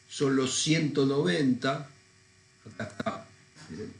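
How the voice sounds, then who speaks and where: A man's voice: mid-range voice, slow pace. A man with a congested voice from a cold, teaching an accounting lesson step by step in an informal, didactic way. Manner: didactic, informal, thinking aloud. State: congested with a cold, often clearing throat. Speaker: accounting lecturer. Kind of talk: recorded lesson, explaining step by step. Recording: echoey room sound.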